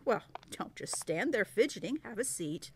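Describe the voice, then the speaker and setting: A woman's voice: mid-range voice, very animated, moderate pace, a little hiss or hum. A woman playing a prim, officious, skeptical receptionist in an audio drama. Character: officious, fussy receptionist and dispatcher.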